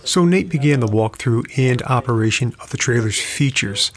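A middle-aged man giving an upbeat, relaxed voice-over narration for his travel vlog. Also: mid-range voice, moderate pace.

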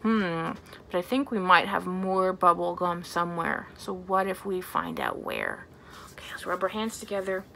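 A woman in her thirties leading a storytime for young children as a librarian, talking in an animated way with simple, exaggerated phrasing. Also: on the low side, normal speed, creaky, slight background noise. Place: small plain indoor room.

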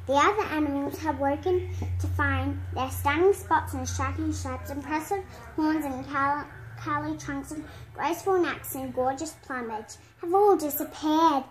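A girl of about eight reads a picture book aloud. Her voice is high and childlike, and she takes the words slowly. The reading is halting, with stumbles along the way. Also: low-quality recording. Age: child, 8 years old. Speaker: young reader. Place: indoor room at home.

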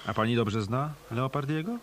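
A man's voice: mid-range voice, moderate pace, a little hiss or hum, natural voice. A male actor playing a tough, wry hotel detective in a hard-boiled Polish radio play. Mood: calm, wry.

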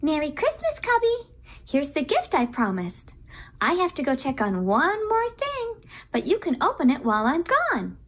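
A woman's voice: high-pitched, huge pitch swings, moderate pace, fairly bright tone, slight background noise, exaggerated voice. A woman voicing a kind young female lamb in a children's puppet show, sweet, girlish and reassuring. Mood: cheerful.

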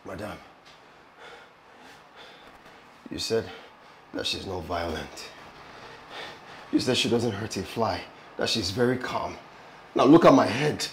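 A man's voice: on the high side, expressive, moderate pace, roomy sound, a little hiss or hum, natural voice. An actor playing an indignant, injured man in a drama, emphatic and repeating his complaints. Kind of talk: film drama dialogue with comic exasperation.

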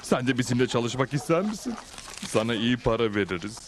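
A man's voice: mid-range voice, very animated, fairly quick, loud music behind the voice. A man voicing a menacing, cocky outlaw gang boss in a Turkish cartoon dub, plotting and bossy. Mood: sly. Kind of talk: Turkish-dubbed cartoon villain dialogue.

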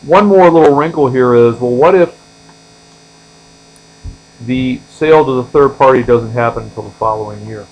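A man's voice: mid-range voice, moderate pace, long pauses, muffled recording. A man teaching as an accounting instructor, explaining examples step by step in a tutorial style, starting phrases firmly and trailing off at the ends. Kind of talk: explanatory tutorial lecture, walking through on-screen examples. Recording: steady mains hum.

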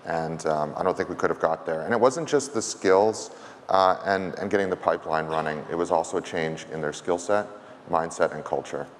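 A middle-aged man co-presenting informally at a conference, with a hesitant, pausing delivery. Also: deep voice. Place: large conference stage, indoors. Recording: reverberant hall with background noise.

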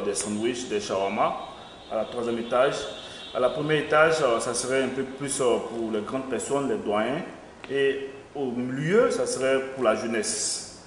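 A young man, an event organizer, answering interview questions hesitantly with many fillers. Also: medium pitch. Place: small studio with logo backdrop. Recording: echoey studio room, faint noise.

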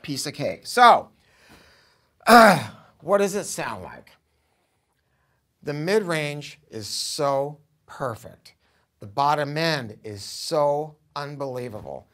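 A man's voice: on the high side, expressive, slow, long pauses, a bit bright. An American man in his sixties giving an enthusiastic, informal product review to camera, with an emphatic salesman's delivery. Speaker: audio store owner presenting a product review. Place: showroom, indoors.

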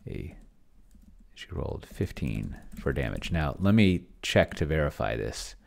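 A middle-aged man with a very deep voice that often drops into fry, yet swings freely up and down. He talks casually through a solo roleplaying session at his computer, halting and muttering as he thinks aloud. Keys can be heard as he types. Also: very animated. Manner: halting, muttering to himself while working. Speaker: solo tabletop roleplayer testing an AI game master. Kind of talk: casual screen-share commentary, thinking aloud. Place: indoors at a desk in a home room.

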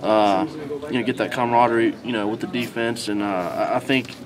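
A young man as a college football player, answering reporters in a halting way with many fillers and restarts, recorded on handheld recorders held close to him. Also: fairly low pitch, fairly even intonation, noisy background. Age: young adult. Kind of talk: post-practice media scrum answers. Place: indoor hallway, crowd of reporters.

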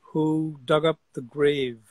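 Older man in his sixties, fairly high voice, dry close sound, animated storyteller on a video call. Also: takes it slowly, no echo. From Guyana. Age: 60s. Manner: animated storyteller, frequent repeated words. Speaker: guest artist. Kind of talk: casual video-call conversation. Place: outdoor deck among trees. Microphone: video call webcam.

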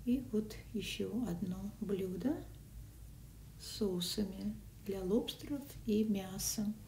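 Soft-spoken woman vlogging on a phone. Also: medium pitch, slow, creaky. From Russian-speaking country. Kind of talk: casual travel and food vlog narration. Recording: echoey, from a distance, faint noise.

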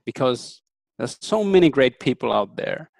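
A man in his thirties, a native German speaker, giving earnest, thoughtful interview answers with frequent pauses and ums. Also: mid-range voice, big pitch swings, vocal fry, darkish tone, no room sound. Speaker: interview guest.

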